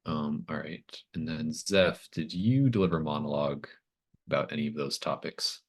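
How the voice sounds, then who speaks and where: A man's voice: a bass voice, normal speed. A man casually talking his players through a session as game master, feeling his way through phrases as he goes. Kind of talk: casual wrap-up talk with players.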